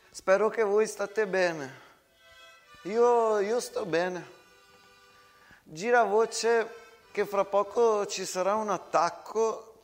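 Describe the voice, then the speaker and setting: Man playing a hesitant young lovesick soldier, high-voiced. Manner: hesitant, earnest, halting. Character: timid, lovesick young Italian soldier dictating a love letter. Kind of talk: theatrical monologue acting. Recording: reverberant hall with music.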